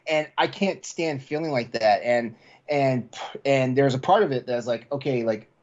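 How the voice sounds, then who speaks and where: A man's voice: medium pitch, moderate pace, darkish tone. A man co-hosting a podcast in casual, candid chat, rambling and repeating his words as he goes, with salty language. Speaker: podcast co-host. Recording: slightly dull, lo-fi voice track.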